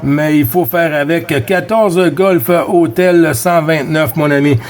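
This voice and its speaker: A man with a Quebec French accent chatting casually as a CB radio operator, friendly, with halting phrasing and repeated words. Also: fairly high pitch, steady tone. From Quebec, Canada. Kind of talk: casual radio chat with callsigns.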